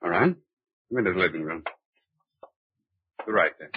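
A man with an American accent playing a hungover, shaken mayoral candidate in old-time radio drama style, with a husky voice. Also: low voice, expressive, unhurried, no echo, full character voice. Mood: shaken, tense. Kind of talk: 1940s radio drama acting. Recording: muffled old radio recording.

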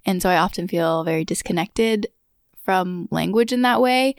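Woman with a fry-laden, chatty voice. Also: on the low side, normal speed, no room sound, clean studio sound. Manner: chatty and candid, frequent "like". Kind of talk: casual group conversation.

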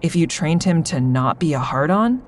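A woman's voice: low-pitched, animated, moderate pace, a little hiss or hum. A woman playing a sardonic, anxious insomniac, confiding dryly as she narrates a first-person audio drama. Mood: wry, observant. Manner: wry, confiding, dry.

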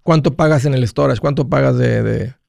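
A man's voice: medium pitch, normal speed, creaky, a little mellow, no room sound, studio quality. A man hosting a call-in advice show, talking in a folksy, conversational way. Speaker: host of a personal finance call-in show.

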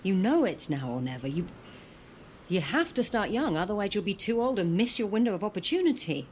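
A woman's voice: mid-range voice, sing-song, fairly quick, darkish tone, lightly acted. Woman playing a stern mother over noisy ambience. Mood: stern, scolding. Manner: commanding, scolding. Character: stern, controlling mother of young sons. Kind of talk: audio drama acting. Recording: clearly noisy ambience behind.

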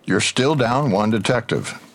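An actor playing an officious police detective who interrogates with formal, pressing, insinuating questions in an audio drama. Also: male voice, on the low side, animated, measured pace, fairly bright tone. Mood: needling, pressing. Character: needling, officious male internal-affairs detective interrogating a colleague.

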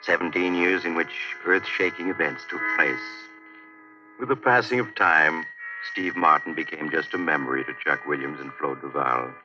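A male actor as an ominous storyteller, narrating a 1940s radio crime drama in a dramatic, measured way over music. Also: rumbling low. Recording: dull, distant vintage radio recording, music behind.

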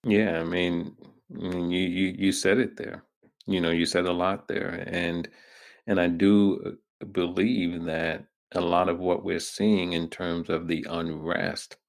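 Deep gravelly older host. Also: male voice. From New York. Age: 50s. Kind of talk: radio interview.

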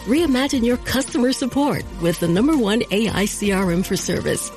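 A woman reading a scripted advertisement in an animated promotional manner. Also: on the high side, fairly quick, soft background music. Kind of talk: scripted ad read.

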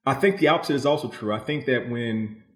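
A man's voice: medium pitch, quick pace. A man giving thoughtful, conversational answers as a novelist guest, explaining his thinking and voicing other people's views as he goes. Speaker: novelist guest being interviewed. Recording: roomy, echoing voice.

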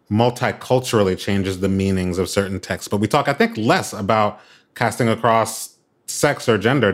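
A man hosting a conversational interview, relaxed, laughing easily and asking thoughtful questions. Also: mid-range voice.

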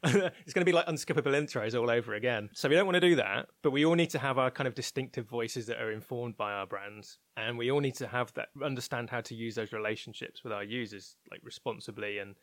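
A man's voice: mid-range voice, fast talker, few pauses, fairly bright tone, dry recording. A man talking as an interview guest, explaining his opinions informally and candidly, thinking aloud as he goes. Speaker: interview guest, technology researcher at a broadcaster.

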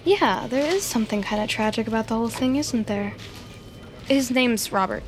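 An actress playing an eager, awkward young tech team leader, stammering and rambling earnestly in a naturalistic audio drama. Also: female voice, fairly high pitch, animated, normal speed, slight background noise, close to an everyday voice. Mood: hesitant, wistful.

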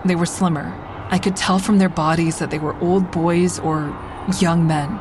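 A woman's voice: lowish voice, fairly even intonation, moderate pace, background noise, lightly acted. A woman narrating as a haunted train-attack survivor. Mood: somber. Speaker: lead narrator of the drama. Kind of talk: first-person scripted narration. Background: music underneath.

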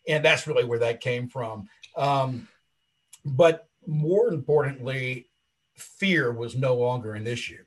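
A man in his late fifties with a husky voice, chatting casually and talkatively as an interview guest, self-assured and full of anecdotes. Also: mid-range voice, takes it slowly. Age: late 50s. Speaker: interview guest, wrestling commentator and video creator.

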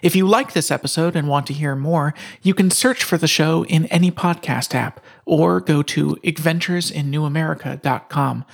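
A man with a gravelly, creaky voice gives a scripted podcast introduction. He sits a little higher in pitch than most men. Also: normal speed, hardly any pauses. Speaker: podcast presenter.

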